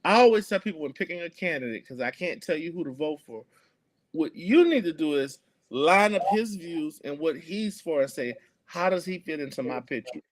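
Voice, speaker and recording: A man's voice: a little high, animated, normal speed. A man, speaking earnestly as an activist guest in an interview, with many fillers and restarts. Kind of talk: conversational interview.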